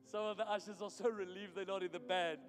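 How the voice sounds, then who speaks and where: High-voiced South African pastor preaching. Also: male voice, steady tone, quick pace. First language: Afrikaans. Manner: blunt, teasing, emphatic. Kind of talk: sermon. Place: church hall. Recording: echoey hall with music.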